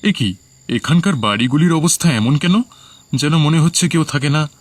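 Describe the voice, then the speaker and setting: A man's voice: on the high side, expressive, normal speed, no room sound, voice pushed up. A man voicing a puzzled, hesitant lost traveller in a horror cartoon. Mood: puzzled, uneasy. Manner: questioning, hesitant.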